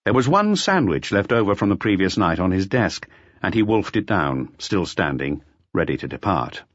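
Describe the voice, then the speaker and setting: Male storyteller for crime audiobook: lowish husky voice, expressive range, dry but slightly muffled recording. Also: normal speed, dry recording. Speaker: audiobook narrator. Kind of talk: scripted narration of a detective novel.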